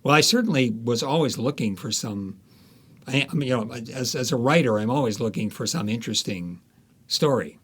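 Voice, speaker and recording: A man speaking as an interviewed author, telling stories conversationally with animated retellings and quoted asides. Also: mid-range voice, moderate pace, breathy edge.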